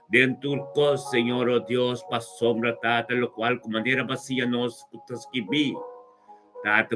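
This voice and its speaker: High-voiced man praying fervently over music. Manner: devout, repetitive invocations and exclamations. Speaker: religious worshipper leading prayer.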